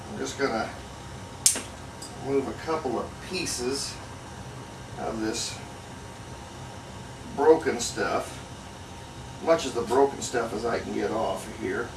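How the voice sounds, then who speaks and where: A man with a gravelly, creaky voice narrates a hands-on hobby demonstration in a casual, folksy way. He takes his time and thinks aloud as he goes. There is a faint layer of noise behind him in the homemade recording. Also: medium pitch. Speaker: hobbyist restorer and video host.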